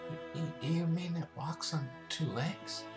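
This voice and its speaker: A man's voice: a little high, a little slow, over music, pitched above the performer's own voice, natural voice. A man voicing a timid, fearful young female pegasus pony, hesitant and meek, in a story reading. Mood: timid, worried.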